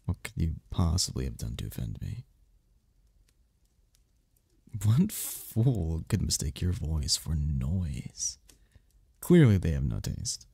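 A man playing a seductive, imperious demon prince in an ASMR romance roleplay, teasing and possessive, with a husky, slow, theatrical purr. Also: a bass voice, big pitch swings, warm tone, no echo, clean studio sound, full character voice. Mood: amused, flirtatious. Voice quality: gravelly, husky, often breathy whisper. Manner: slow, purring, theatrical, commanding.

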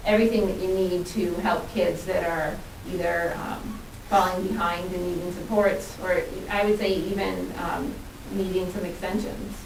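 A woman giving an informal, explanatory presentation as a school administrator at a meeting, often saying "you know". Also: mid-range voice. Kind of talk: spoken presentation at a board meeting. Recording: echoey, distant mic with hiss.

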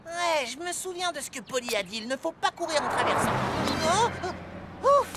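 An actor voicing a cocky, energetic little schoolboy in a dubbed children's cartoon. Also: very high-pitched, moderate pace. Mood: eager. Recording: loud music behind.

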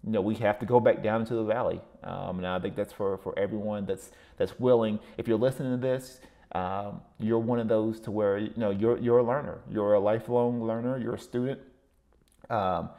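A man's voice: on the low side, gravelly, lo-fi sound. A man hosting a podcast, giving a solo motivational talk in a rambling way, with many fillers and repeated words. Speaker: podcast host.

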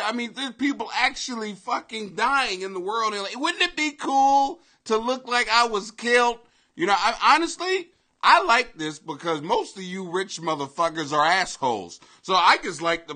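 A man in his forties hosting a casual live webcam show from his home basement, talking loosely with swearing, loud bursts and laughing asides. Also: sits high. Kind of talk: casual profane live commentary. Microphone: webcam at desk.

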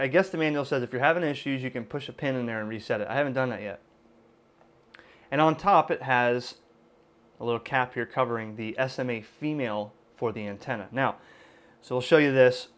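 A man's voice: mid-range voice, fairly bright tone. A man presenting a hands-on product demonstration in an informal, conversational, hedging way, recorded indoors at a desk. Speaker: amateur radio video host and product reviewer. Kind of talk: casual unboxing and product demonstration.

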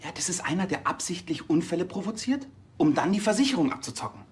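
An actor playing an earnest, naive junior policeman in a TV drama, halting but eager. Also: high-pitched, very animated, breathy edge, exaggerated voice. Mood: earnest, inquisitive.

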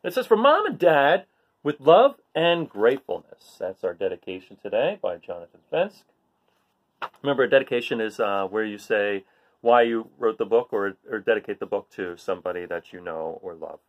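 Lively middle-aged male storytime host. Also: on the low side, brisk, narrow, tinny audio. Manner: explanatory, addressing children directly. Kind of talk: read-aloud talk to children. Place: bright living room, indoors.

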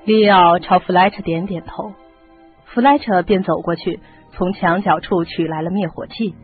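A woman's voice: on the low side, steady tone, moderate pace. A woman reading scripted narration as the narrator of a radio mystery drama. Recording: muffled lo-fi radio drama, music behind.

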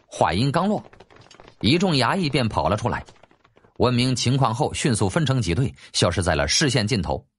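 A man's voice: medium pitch, very animated, moderate pace, slightly rough. A man narrating an audiobook in a dramatic, scripted storytelling style.

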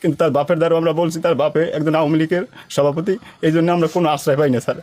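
A man's voice: a little high, little pitch movement, very fast, a little mellow, dry recording. A man gives an unscripted account of his troubles, pleading and aggrieved. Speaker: complainant being interviewed. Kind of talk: spontaneous personal account.

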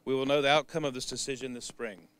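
A man's voice: mid-range voice, steady tone, fast, fairly bright tone, smooth. An older American man, a board chair, reading prepared public remarks at a podium. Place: open parking lot outdoors. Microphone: podium microphones.

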